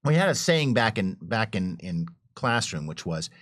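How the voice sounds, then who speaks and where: A man's voice: fairly low pitch, very animated, normal speed, fairly bright tone. A man explaining his points casually as a panelist, posing rhetorical questions as he goes. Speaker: panelist, adventure developer.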